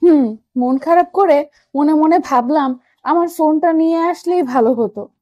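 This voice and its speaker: A woman's voice: high-pitched, moderate pace, mellow, dry recording, dull audio. A young woman narrates a romantic story in the first person, acting out dialogue as she goes.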